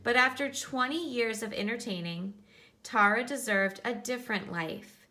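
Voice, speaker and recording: A woman's voice: fairly high pitch, moderate pace, bright, forceful tone. A woman teacher reading a nonfiction book aloud for children, instructive and explaining things in simple terms.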